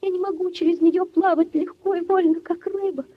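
Sorrowful high-voiced drowned maiden spirit. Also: female voice, fairly even intonation, brisk, no room sound. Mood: anguished, pleading. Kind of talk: 1940s Soviet radio acting. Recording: dull 1940s radio recording, faint music.

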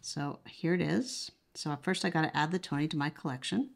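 A woman with a notably low-pitched voice talks through a product demonstration. She is casual and conversational, explaining each step as she goes. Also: fairly quick, creaky, a little hiss or hum. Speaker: product reviewer.

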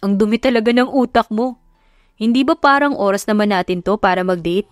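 A woman's voice: mid-range voice, fairly quick, no room sound, clean studio sound, soft background music, natural voice. A woman voicing a playful, sly young husband in an easygoing, teasing tone.

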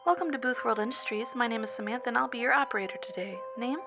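A woman's voice: fairly high pitch, very fast, over music, close to an everyday voice. A woman playing a polite, unflappably cheerful telephone operator for a sinister company in a scripted horror audio story. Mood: polite, businesslike. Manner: scripted customer-service courtesy, unflappable.

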